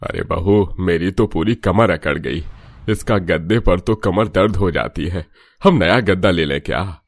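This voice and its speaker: A man's voice: low voice, a little slow. A man voicing an aching, wheedling older father-in-law in a cartoon family story. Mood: complaining, wheedling. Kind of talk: Hindi cartoon story dialogue.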